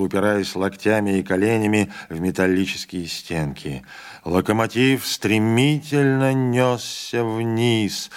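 Low-voiced man reading a literary audiobook. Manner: measured, dramatic storytelling. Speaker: audiobook narrator.

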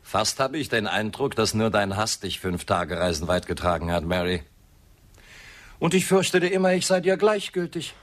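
Animated, suave, mocking man. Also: on the low side, moderate pace. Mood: ironic charm. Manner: theatrical, ironic, given to grand words. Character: suave, grandiose, mocking bridge builder. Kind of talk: German radio drama acting.

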